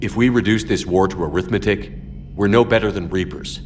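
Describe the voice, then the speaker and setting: A man voices a principled military commander in a game. His voice is fairly low, terse and firm, with faint noise in the background. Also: fairly quick, close to an everyday voice. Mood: firm, serious. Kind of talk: dramatic video game acting.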